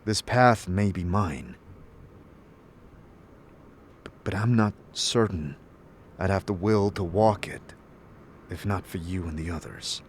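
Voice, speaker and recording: Man playing a deep, reflective fantasy wanderer. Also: slight background noise. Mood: grateful, sincere. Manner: earnest, reflective, halting. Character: thoughtful male wanderer on a quest, sincere, searching. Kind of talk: fantasy audio drama acting.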